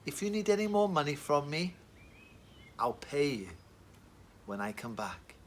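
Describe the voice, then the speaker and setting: A man voicing a gentle, kind stranger who helps a wounded traveler in a Bible story for children. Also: big pitch swings, unhurried, slight background noise. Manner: soft, gentle, low-spoken.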